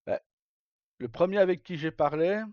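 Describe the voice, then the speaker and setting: A man chatting casually as a livestream host, telling roleplay stories in a halting, rambling way and often repeating words as he goes. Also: fairly high pitch, animated, darkish tone, no room sound. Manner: halting, rambling, frequent self-repetition. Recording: dull lo-fi mic, dry.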